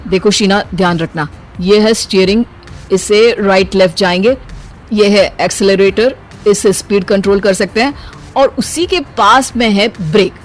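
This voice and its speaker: A man plays a wisecracking young husband in an animated family tale. He sounds teasing, sarcastic and put-upon, and music runs under the voice. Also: high-pitched, normal speed, lightly acted. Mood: instructive, dry. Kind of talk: animated Hindi moral story voice acting.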